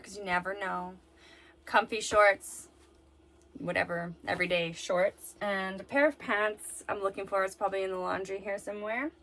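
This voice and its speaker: A woman's voice: medium pitch, a bit bright. A young woman talking casually as a mom vlogger, in an informal, rambling way with lots of "like" and "you know". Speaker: vlogger and mother of young children. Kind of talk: casual chatty vlog talk.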